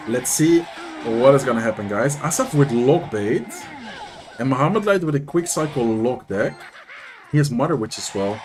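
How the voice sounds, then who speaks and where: A young man with a Dutch accent, chatting casually on a live stream, reading and answering viewers while commenting on matches. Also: medium pitch. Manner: chatty, reads and answers chat, animated. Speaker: streamer and content creator.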